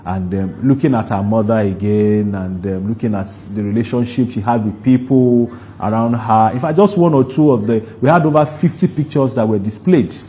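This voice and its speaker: A man's voice: lowish voice, moderate pace, hardly any pauses, dark tone, a little hiss or hum. A man with a Nigerian accent speaking as a producer in an interview, recounting at length and repeating words for emphasis. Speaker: interview guest, stage play producer.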